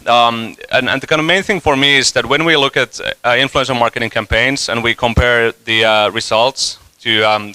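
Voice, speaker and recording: Man in his 30s, conference speaker, very bright voice, dry close sound. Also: mid-range voice, clean tone, dry recording, rough recording. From Finland. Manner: casual, loose and joking, many fillers and restarts. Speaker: conference speaker, company CEO. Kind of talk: conference talk. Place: conference hall stage.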